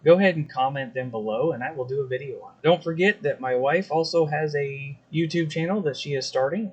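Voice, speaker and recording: An American man hosts a hobby video in a casual, explanatory way. His voice sits at an average pitch and he talks straight to his viewers. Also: a little mellow, breathy edge, low-quality recording. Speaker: video host, CB radio hobbyist.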